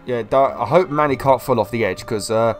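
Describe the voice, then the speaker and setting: Young gamer with a cold; chatty, excitable walkthrough talk, mid pitch. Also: male voice, brisk, soft background music. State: slightly ill, sniffly. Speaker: gameplay video host. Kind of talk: casual let's-play commentary.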